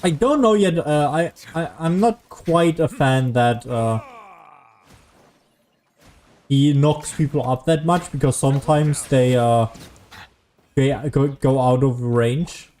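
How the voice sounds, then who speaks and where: A man's voice: medium pitch, slow, long pauses, a little mellow. A man who is a native German speaker, streaming a video game with casual, rambling, self-deprecating commentary.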